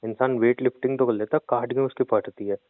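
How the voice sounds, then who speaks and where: A man's voice: medium pitch, steady tone, fast talker, dry recording. Teasing young man on a phone line. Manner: teasing, playful. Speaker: boyfriend in comedy phone call. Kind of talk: scripted comedic phone banter. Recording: phone call recording.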